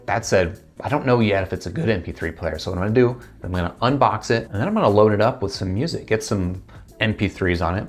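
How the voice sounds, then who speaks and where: American man, thirties, low voice, casual product review, clear music behind. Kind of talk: casual unboxing review talk to camera. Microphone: lapel mic.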